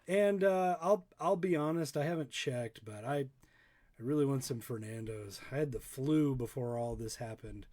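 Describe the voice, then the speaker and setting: A man's voice: mid-range voice, normal speed, warm tone. A man hosting a casual online storytime for kids, chatting in a rambling, folksy, playful way. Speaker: storytime host, local dad.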